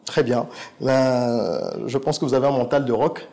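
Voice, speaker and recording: A man's voice: mid-range voice, measured pace, dark tone. A man interviewing a guest, asking long, elaborate and articulate questions. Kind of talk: interview conversation.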